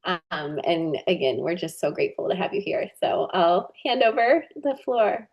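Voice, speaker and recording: A woman's voice: on the low side, very animated, normal speed, dull audio. A woman hosting a webinar over a video call, introducing the guest in a friendly way with many fillers. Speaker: webinar host. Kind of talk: introducing a speaker.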